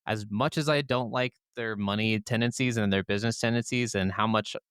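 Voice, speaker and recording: A man's voice: lowish voice, steady tone, brisk, a bit bright, clean tone, no room sound, studio quality. A man co-hosting a podcast, chatting casually and informally about video games with enthusiasm. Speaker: podcast host.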